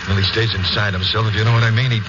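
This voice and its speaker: An actor playing an anxious, secretive middle-aged father in a 1950s radio drama, stammering and halting as he speaks. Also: lowish voice, fairly even intonation, close to an everyday voice. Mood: worried, defensive. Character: anxious, secretive father shielding his troubled son. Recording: muffled, noisy 1950s radio recording.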